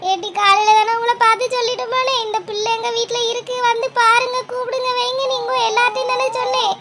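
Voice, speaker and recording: Very high-pitched wailing village mother played by a woman. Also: little pitch movement, quick pace, loud music behind the voice. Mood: distraught, tearful. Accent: southern Tamil Nadu. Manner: plaintive, repetitive lamenting. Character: distraught older village mother, wailing and helpless. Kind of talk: village drama dialogue.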